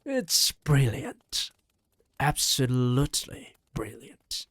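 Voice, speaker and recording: A reader voicing a fierce, spirited old grandmother in an audiobook, talking briskly and impatiently. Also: medium pitch, expressive, dry recording, studio quality, pitched below the performer's own voice. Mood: thrilled. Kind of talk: audiobook character reading.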